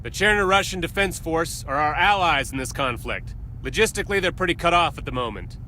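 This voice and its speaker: An actor playing a stern military captain in a video game, giving a formal, authoritative briefing with clipped delivery. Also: male voice, on the high side, expressive, brisk, pushed and bright, a little hiss or hum. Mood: stern, commanding.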